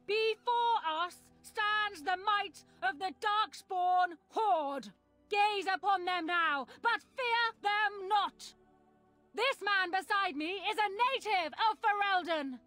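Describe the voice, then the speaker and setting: A proud queen declaims a stirring speech to her soldiers in a fantasy game. Her voice is very high, bright and forceful, delivered slowly and regally over background music. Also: no echo, heavily acted. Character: proud widowed queen rallying troops before battle.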